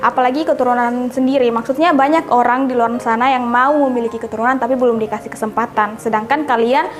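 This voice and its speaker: A woman's voice: on the high side, steady tone, quick pace, faint music behind. A woman in her twenties giving earnest, argumentative answers in a street interview. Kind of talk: vox pop answers to camera.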